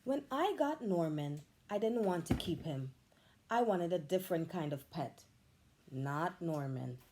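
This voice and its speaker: A woman reads a children's storybook aloud, taking her time over every phrase. Her voice sits a little low but leaps and dips dramatically as she brings the story to life, like a teacher at story time. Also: a little slow, slight background noise. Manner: expressive, animated storytelling.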